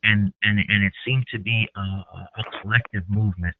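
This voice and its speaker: A man's voice: fairly low pitch, fairly even intonation, a little hiss or hum. A man calling in to a conference call, speaking hesitantly and restarting often. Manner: hesitant, halting, many fillers and restarts. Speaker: caller on an activist conference call. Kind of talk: casual phone call. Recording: tinny phone line.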